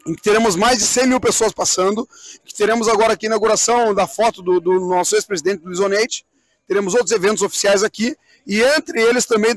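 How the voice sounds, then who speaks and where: A middle-aged man speaks. He explains things in an interview, often repeating himself and asking rhetorical questions. The sound is dry, with no echo. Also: sits high. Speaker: event president being interviewed. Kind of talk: live outdoor interview, explanatory talk. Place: outdoors on a wooden swing in a park.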